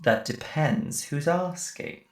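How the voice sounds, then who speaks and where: A woman as a slow, condescending cult leader. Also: sits low, expressive. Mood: guarded. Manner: slow, patronising, theatrical. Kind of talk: audio drama acting. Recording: echoey, faint music behind.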